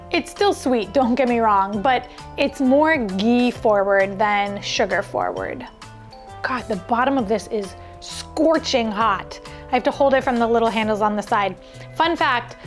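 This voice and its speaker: Female food vlog host, high voice, exclamatory and conversational, music underneath. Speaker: cooking show host. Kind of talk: casual food vlog chat. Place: indoor kitchen.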